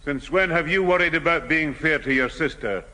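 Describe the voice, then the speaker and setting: Sharp, stern old grandad. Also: male voice, on the high side, normal speed. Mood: suspicious. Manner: sharp, reproving. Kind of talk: old-time radio sitcom acting. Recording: reverberant 1970 radio recording.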